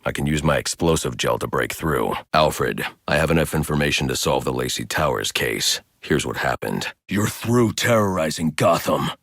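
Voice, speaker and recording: A brooding male vigilante detective for a video game, with an extremely low, heavily gravelled voice. He is grim, stern and intimidating, speaking in terse, threatening phrases. The recording is very dry and close. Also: animated, moderate pace, hardly any pauses, a bit bright, no room sound, exaggerated voice. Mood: stern, accusing. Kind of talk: dramatic video game voice lines.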